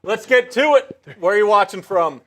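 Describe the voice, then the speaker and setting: A man co-hosting a live sports stream, cheerfully reading out viewer comments and shout-outs. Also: high voice, lively intonation, moderate pace. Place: sports media studio.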